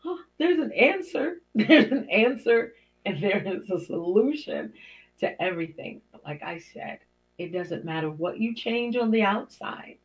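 A woman's voice: expressive, unhurried. A middle-aged woman hosting her own show, talking in an encouraging, preacherly way with small laughs. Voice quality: deep for a woman. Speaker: host. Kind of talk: inspirational talk show monologue. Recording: dull lo-fi podcast audio.

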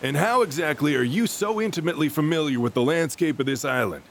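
A man's voice: on the high side, lively intonation, quick pace, slight background noise. A man acting a stern, commanding military officer in an audio drama, giving clipped, authoritative orders.

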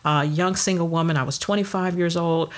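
A woman's voice: fairly low pitch, steady tone, brisk. A woman in her fifties from Detroit, a pastor and children's minister, chatting casually as an interview guest with lots of ums. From Detroit, USA. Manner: chatty, warm storytelling with many ums.

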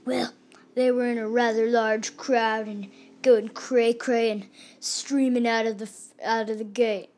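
A woman voicing a laid-back male zoo witness who answers in a slow, offhand way. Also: a little high, flat delivery, a little mellow, natural voice.

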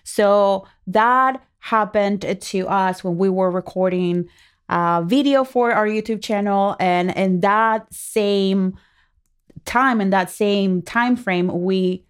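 A woman's voice: mid-range voice, takes it slowly, clean tone. A woman with a Spanish-speaking accent, a native Spanish speaker, co-hosting a casual podcast in a playful, earnest way. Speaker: podcast co-host, marketing expert.

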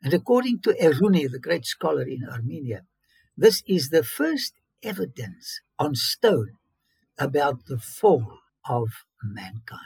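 A fairly high-voiced man, raspy and gravelly, recounting his own story in English with sudden emphatic bursts. His speech halts and restarts as he goes. The sound is dry and close, with no room around it. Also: big pitch swings, a little slow, darkish tone, no echo. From South Africa. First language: Afrikaans. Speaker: interview guest.

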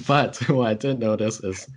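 A man's voice: mid-range voice, a little slow. A young man, a podcast co-host whose first language is Taishanese, chatting casually with lots of fillers and self-corrections.

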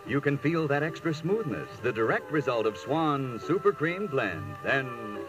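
Old time radio ad guy, swoopy persuasive pitch, kinda far from mic, music behind. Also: expressive, unhurried. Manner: smooth persuasive sales delivery, addressing listeners. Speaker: radio commercial announcer. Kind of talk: scripted 1940s radio advertisement. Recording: old radio broadcast recording, somewhat distant.

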